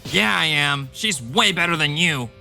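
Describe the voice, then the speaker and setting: A man's voice: a little high, lively intonation, measured pace, creaky, sharp tone, faint music behind, heavily acted. A man voicing an arrogant, callous, easily irritated husband in a manga dub, dismissive and self-important. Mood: scornful. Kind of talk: dramatized manga dub dialogue.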